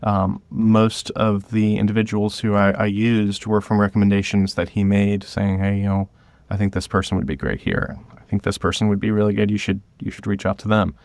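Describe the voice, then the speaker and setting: A man speaking as an interview guest, telling stories about directing in a casual, halting way with some chuckles. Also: fairly low pitch, level tone, darkish tone, dry recording. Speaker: guest, director of an audio drama podcast.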